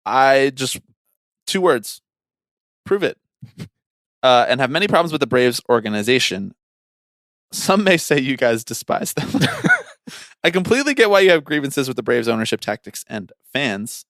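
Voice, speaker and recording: A man's voice: medium pitch, huge pitch swings, normal speed, long pauses, a bit bright, dry recording, clean studio sound. A man co-hosting a podcast in casual sports chat, blunt and opinionated, prone to swearing. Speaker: podcast co-host.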